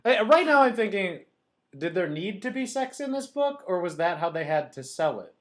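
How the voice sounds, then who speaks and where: A woman co-hosting a podcast with casual, irreverent banter, sweary and easily excited in her reactions. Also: medium pitch, moderate pace. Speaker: podcast co-host.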